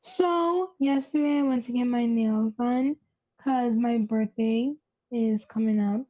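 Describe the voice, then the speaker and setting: A woman's voice: a little high, takes it slowly, dark tone, dull audio. A woman in her late twenties with a youthful-sounding voice, chatting casually and dryly as a podcast co-host. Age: late 20s.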